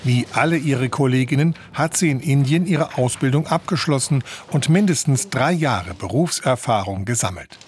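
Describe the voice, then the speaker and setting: A man's voice: mid-range voice, animated, normal speed, few pauses, slight background noise. A man reading a scripted radio report as the reporter.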